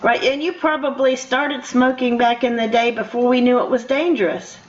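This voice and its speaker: Older woman hosting, fairly high-pitched voice. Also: fairly even intonation, moderate pace. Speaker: radio show host, interviewer. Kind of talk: casual conversational interview. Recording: dull lo-fi radio interview audio.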